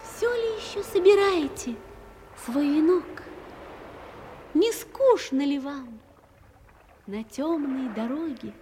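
An actress playing a gentle, mysterious young phantom woman in an audio drama, speaking solemnly in measured, poetic phrases. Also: high-pitched, lively intonation. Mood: dreamy, yearning. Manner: solemn, measured, with drawn-out pauses. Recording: long cavernous reverb under music.

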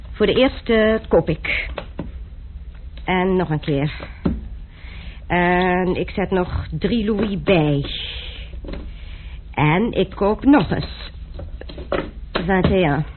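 An actor playing a young male gambler and duelist who talks dryly and mockingly, in a radio play. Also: high-pitched. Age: young adult. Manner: dry, mocking, unhurried. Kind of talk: radio drama acting. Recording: tinny lo-fi radio play recording with hiss.

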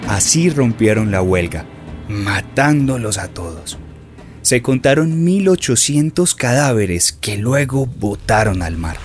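A man's voice: mid-range voice, sing-song, slow. A man delivering grave, dramatic scripted narration for a radio drama. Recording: clear music bed behind.

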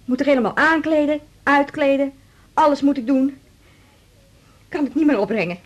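An actress playing an overburdened middle-aged daughter in a radio drama, complaining and insistent in manner. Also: female voice, high voice, moderate pace, natural voice. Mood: aggrieved. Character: overburdened, nagging daughter caring for her aged mother. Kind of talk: 1980s Dutch radio drama acting.

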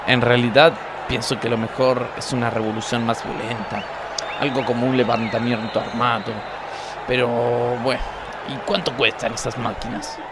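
An Argentine man playing a blunt, simple, violent-minded factory worker in a comedic radio play, delivered deadpan. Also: mid-range voice, normal speed, over a noisy crowd, lightly acted. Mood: militant. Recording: noisy background.

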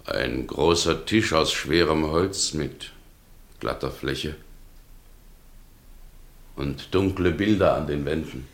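A haunted everyman in a vintage radio drama, resigned and submissive. The voice is extremely low and creaky, with grave, halting delivery. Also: exaggerated voice. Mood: uneasy. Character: haunted, resigned everyman condemned for another's deed. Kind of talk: 1960 German radio play acting.

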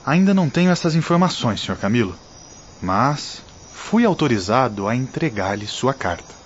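A man's voice: medium pitch, sing-song, moderate pace, slight background noise, natural voice. An actor playing a humble, devout, kindly male medium in a radio drama. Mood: gentle, respectful. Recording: dull recording with night cricket ambience.

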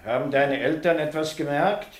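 An actor playing a middle-aged schoolteacher with dry, mocking irony in a 1950s radio play. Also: male voice, a little high, normal speed, reverberant, natural voice. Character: dry, ironic male schoolteacher, a professor.

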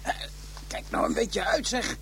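An actor playing a fussy, squeamish detective who whines and grumbles in a radio drama. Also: male voice, unhurried, a bit bright, close to an everyday voice. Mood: whiny, grumbling. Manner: whiny, grumbling. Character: fussy, complaining male detective, squeamish and vain. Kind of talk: naturalistic radio drama acting. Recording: noisy radio drama recording.

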